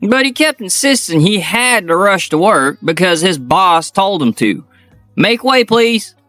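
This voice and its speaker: A man voicing a young surgeon in a manga dub, talking in a firm, scolding but concerned way, in a higher voice than his own. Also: sing-song, measured pace, sharp tone, no echo, soft background music, heavily acted. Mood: brisk. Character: firm, caring young male surgeon friend.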